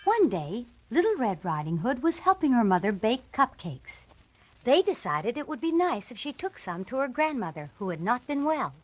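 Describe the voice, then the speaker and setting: A woman's voice: a little high, very animated, moderate pace, slight background noise, poor audio. A woman reading scripted narration for a children's read-along storybook.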